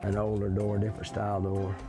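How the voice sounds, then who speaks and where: Man, halting folksy speech, music bed. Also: fairly low pitch, completely flat delivery. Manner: halting, folksy, repeats words. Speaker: craftsman interviewee.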